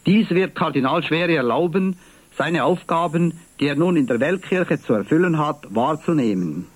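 A man from Valais with a Swiss accent, presenting church news on the radio in a formal, measured reading. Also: fairly high pitch, expressive, no room sound. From Valais, Switzerland. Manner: formal, measured, clear delivery.

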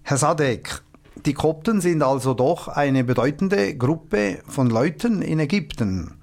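A man's voice: on the high side, expressive, unhurried, gravelly, darkish tone. A man from the Upper Valais, interviewing a guest with formal, polite questions. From Upper Valais, Switzerland. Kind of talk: radio interview.

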